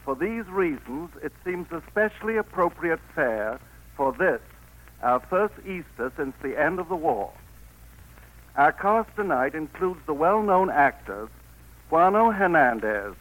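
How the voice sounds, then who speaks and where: A man introducing a play in a formal, measured way in a scripted 1940s radio broadcast. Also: fairly high pitch, a bit bright, poor audio. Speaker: theatrical producer introducing the play.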